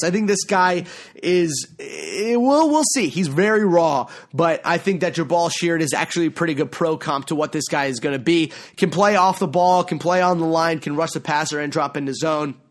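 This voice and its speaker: Brief: young male podcast host, higher pitch, rambling, self-correcting, slightly hoarse. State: losing his voice. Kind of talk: solo mock draft commentary.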